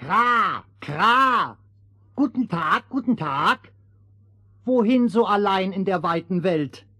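A woman playing a chattering, friendly forest crow with croaky, repetitive speech in a fairy-tale audio drama. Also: medium pitch, expressive, takes it slowly, bright, forceful tone, dry recording, studio quality, heavily acted. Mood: excited greeting. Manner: croaky, repeats phrases.

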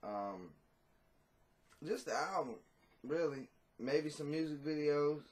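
A man's voice: on the high side, expressive, takes it slowly. A man in his thirties, a rapper talking casually and bluntly in an interview, cursing freely, on a video call from a small room at home. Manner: blunt, profane, laid-back.

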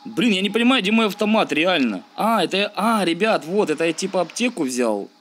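A man's voice: sits high, sing-song, brisk, fairly bright tone, soft background music. A man giving casual, slangy let's-play commentary, thinking aloud and grumbling as he plays. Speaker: gaming video host.

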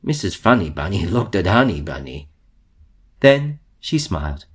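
A man reading a children's storybook aloud in a theatrical way, with drawn-out emphasis. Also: low voice, sing-song, husky. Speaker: storybook reader.

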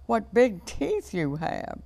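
A woman's voice: on the low side, animated, normal speed, creaky. A woman voicing an innocent, trusting little girl in a storybook, earnest and wide-eyed. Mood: amazed.

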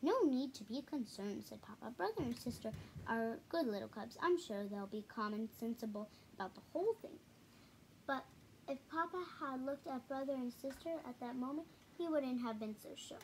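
A young girl of about ten to twelve reading a children's picture book aloud haltingly, with stumbles, repeats and casual asides. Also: sits high, lively intonation, darkish tone, slight background noise, rough recording. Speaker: storybook reader. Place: small living room at home, indoors.

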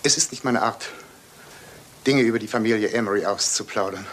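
A man's voice: lowish voice, brisk, fairly bright tone, natural voice. A male actor playing a formal, discreet manservant in a German radio crime drama, stiff and deferential. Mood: discreet, grave. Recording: faintly noisy old radio play recording.

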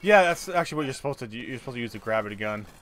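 A man talking casually while playing a video game, explaining things haltingly with repeated words. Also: mid-range voice, animated, fairly bright tone. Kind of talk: casual gameplay chat. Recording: game gunfire under the voice. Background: video game gunfire and ambience.

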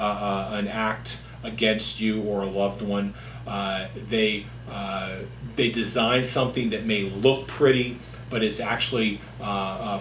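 Echoey, low-voiced middle-aged man. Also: fairly bright tone. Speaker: lawyer. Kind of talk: informal legal explainer to camera. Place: indoor office, seated at a distance from the camera. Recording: echoey room with faint hum.

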